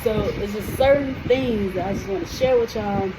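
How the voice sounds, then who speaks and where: A woman's voice: medium pitch, moderate pace. An American woman in a casual to-camera vlog, talking in a chatty, emphatic way and checking in with the viewer often. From United States. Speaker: vlogger. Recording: noisy room with air conditioning hum.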